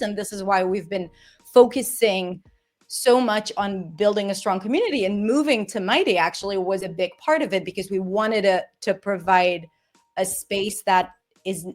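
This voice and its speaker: Israeli-accented woman telling her story. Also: medium pitch. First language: Hebrew. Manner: enthusiastic, animated storytelling with restarts. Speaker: community founder, guest. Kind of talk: casual interview talk.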